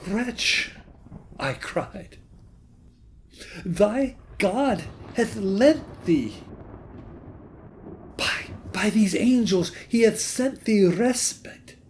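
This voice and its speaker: A man giving a dramatic, theatrical recitation of a gothic poem, with halting delivery and long pauses. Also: high voice, animated, a little hiss or hum. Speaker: poetry reader.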